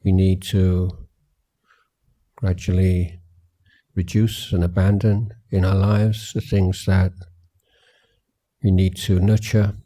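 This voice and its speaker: A man guiding a meditation as a teacher, speaking gently and in a measured way with long pauses. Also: low voice, little pitch movement. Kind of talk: guided meditation talk at a retreat.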